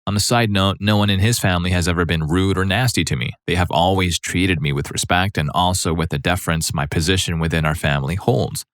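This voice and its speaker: A man narrating a series of forum stories in a steady, even reading voice. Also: low voice, brisk, few pauses, no room sound, clean studio sound. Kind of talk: scripted narration.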